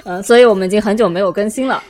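A woman's voice: mid-range voice, rapid-fire, darkish tone. A woman co-hosting a podcast, speaking in casual conversation with her co-host and guest.

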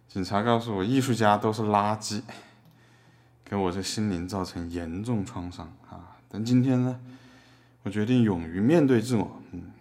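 A man playing a wry drifter artist as a defendant in an audio drama, casual, deadpan and self-mocking. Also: lowish voice, moderate pace, natural voice. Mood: wry, aggrieved.

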